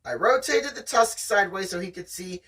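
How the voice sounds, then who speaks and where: Amateur story reader with animated pitch and a forceful tone, casual chat between passages, sounds slightly off-mic. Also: normal speed, breathy edge, far from the mic. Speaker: host reading a novel aloud.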